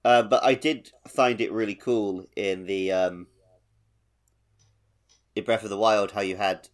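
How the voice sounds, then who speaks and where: A man's voice: on the low side, steady tone, a little slow. A man co-hosting a podcast, discussing a game casually and explaining things informally with loose, conversational phrasing. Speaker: podcast co-host.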